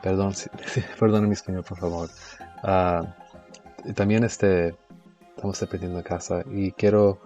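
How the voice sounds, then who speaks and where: A man's voice: sits low, warm tone. A man giving an informal history lesson, speaking hesitantly with frequent restarts and self-corrections. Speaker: presenter of an educational video series. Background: faint background music.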